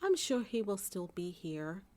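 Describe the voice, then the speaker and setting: A woman voicing a reassuring, gently insistent mother in a children's storybook. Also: mid-range voice, very animated, unhurried. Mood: firm, soothing. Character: reassuring but firm mother of a little girl.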